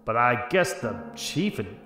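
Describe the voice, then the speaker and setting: A man's voice: mid-range voice, very animated, unhurried. A man playing a tough-talking, wry 1940s private detective in a noir radio drama, with clipped slangy wisecracks. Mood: brooding narration. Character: gruff hard-boiled 1940s private detective, wry and threatening. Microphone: close desk microphone with headset.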